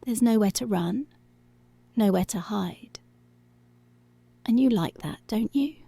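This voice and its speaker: A commanding policewoman toys with her captive, acted out by a woman, in a soft, dark voice with plenty of creak. She taunts seductively and takes her time, sliding into whispers, in an intimate, very dry close-up ASMR style. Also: mid-range voice, slow pace, no echo, heavily acted. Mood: taunting, seductive. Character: dominant, teasing, menacing policewoman toying with her captive. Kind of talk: intimate ASMR roleplay, slow and breathy.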